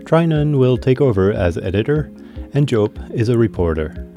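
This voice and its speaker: Male local radio newscaster with a mellow tone, over a faint music bed. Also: medium pitch, animated. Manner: brisk scripted delivery, casual questions. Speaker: radio news host and interviewer.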